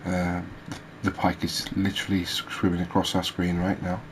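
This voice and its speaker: A man hosting a gaming video, giving soft ASMR commentary in a halting, hesitant manner. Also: low voice. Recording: steady background noise.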